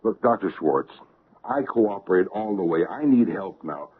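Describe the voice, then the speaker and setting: An actor playing a harried, defensive hotel manager in an old radio drama. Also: male voice, deep voice, lively intonation, husky. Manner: defensive, hurried. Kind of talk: 1970s radio drama acting. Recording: tinny band-limited old radio broadcast.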